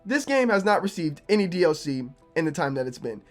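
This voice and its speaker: A young man, a gaming YouTuber, talking casually to his viewers with a slightly raspy, low-energy voice from being sick. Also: very animated, brisk, faint music behind. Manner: conversational, repeats himself, low energy. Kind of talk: casual opinion vlog to camera.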